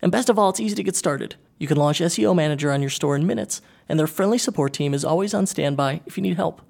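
Energetic podcast host guy, voice on the higher side, reads ads and chats. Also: fast, vocal fry. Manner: upbeat, energetic. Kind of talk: read ad spots and casual interview.

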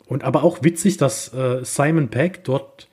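A man co-hosting a casual film discussion podcast, speaking haltingly as he searches for his words. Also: mid-range voice, a little mellow, husky. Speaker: podcast co-host.

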